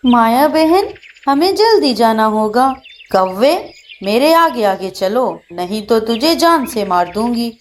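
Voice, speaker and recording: A woman's voice: a little high, sing-song, takes it slowly. A woman voicing a fierce female bird in a children's cartoon, commanding and threatening in manner. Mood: urgent, threatening.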